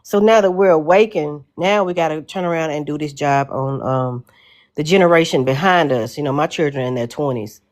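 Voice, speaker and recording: Southern lady in her 40s, earnest and preachy, kinda low voice, rambles on. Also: a little fast, a little mellow. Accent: Southern American. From American South. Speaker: caller guest. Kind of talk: casual call-in chat.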